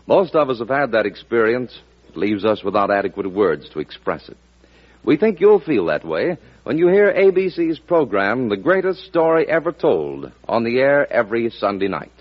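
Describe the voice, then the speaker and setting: A man's voice: medium pitch, very animated, moderate pace. A man announcing in a polished, earnest 1940s scripted network radio style. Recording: dull old radio broadcast recording.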